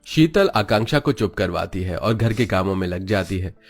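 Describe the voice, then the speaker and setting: Narrator, male, fairly low pitch, scripted storytelling for a cartoon family drama. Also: lively intonation, normal speed, soft background music.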